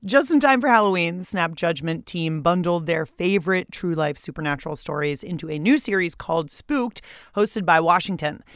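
A woman hosting a podcast in a playful, informal, animated way, moving between chatty scripted narration and casual interviewing. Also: on the low side, normal speed, no echo, studio quality.

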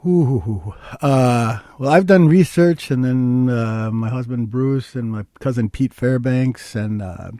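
An older man from Minnesota speaks in a soft-edged, mellow voice. He explains things in a plain, anecdotal way, as a guest in conversation. The sound is dry, with very little room on it. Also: medium pitch, takes it slowly, hardly any pauses, no room sound. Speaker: interview guest, historical researcher. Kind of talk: conversational interview, storytelling.

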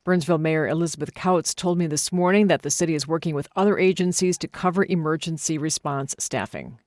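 A woman's voice: low voice, brisk, a little mellow, no echo, studio quality. A woman with an American accent presenting scripted local news and weather on the radio.

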